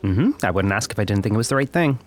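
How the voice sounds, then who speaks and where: A man's voice: on the low side, lively intonation, very fast, slight background noise, close to an everyday voice. Man acting as polite, placating young phone rep. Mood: tentative, persuasive. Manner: hesitant, apologetic, conciliatory. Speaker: customer service representative. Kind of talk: scripted audio comedy drama dialogue.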